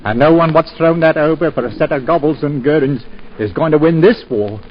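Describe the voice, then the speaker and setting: An actor playing a humble, pious old village gardener, talking in a deferential, rambling way. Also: male voice, on the high side, fairly quick, close to an everyday voice. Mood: gentle. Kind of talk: 1940s radio acting. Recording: muffled 1940s radio broadcast with hiss.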